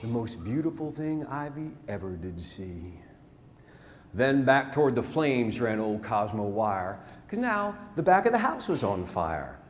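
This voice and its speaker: Man, stage storyteller, rhyming verse, dramatic swells and hushes, lively pitch, big echoing theatre, some background noise. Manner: dramatic, deliberate delivery with swelling and hushed phrases. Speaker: storyteller performing a narrative poem. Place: large theatre, live stage performance. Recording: live theatre stage, long reverb, noisy.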